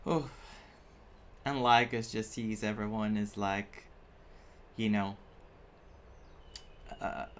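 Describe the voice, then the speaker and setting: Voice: medium pitch, steady tone, slow, long pauses, a little hiss or hum. A game streamer giving live reaction commentary, thinking aloud and getting emotionally invested in the story. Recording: game music behind the voice. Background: video game music.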